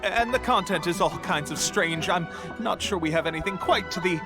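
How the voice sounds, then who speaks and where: Man as a high-pitched, flustered game clerk. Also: normal speed, lightly acted. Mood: hesitant. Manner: stammering, flustered, apologetic. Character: nervous, deferential male bookshop clerk. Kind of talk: video game voice acting. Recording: music behind the voice.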